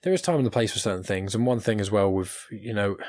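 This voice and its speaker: A man's voice: fairly low pitch, quick pace, a little mellow. A man chatting casually as a podcast host, talking through his opinions conversationally. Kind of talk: casual chat.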